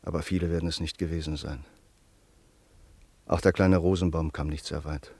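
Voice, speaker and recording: A man narrating a radio play in a measured, sober way on a close studio mic. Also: sits low, darkish tone, dry recording.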